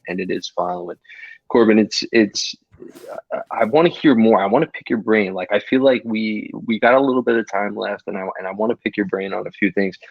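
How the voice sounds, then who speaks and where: Low-voiced man on a muffled mic. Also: gravelly, dry recording. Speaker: podcast co-host. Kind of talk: casual sports chat.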